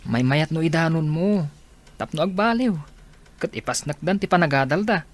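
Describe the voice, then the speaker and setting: Man acting higher-voiced, concerned young male student. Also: expressive, normal speed, lightly acted. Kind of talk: amateur audio drama acting. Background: faint music.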